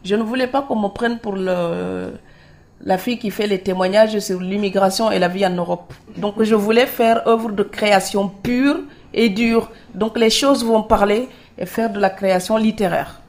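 Lively storytelling woman from Senegal. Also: mid-range voice, normal speed. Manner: lively storyteller, laughs easily, acts out quoted dialogue. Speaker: author, interview guest. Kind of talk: conversational interview.

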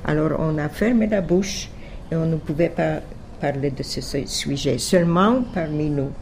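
An older woman giving testimony in a discussion, halting and searching for her words. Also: low-pitched, darkish tone, a little hiss or hum. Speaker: guest, war survivor.

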